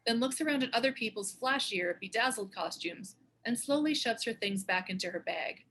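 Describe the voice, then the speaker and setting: Woman, scripted stage-direction narration, bright forward voice, light noise behind. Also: mid-range voice, poor audio.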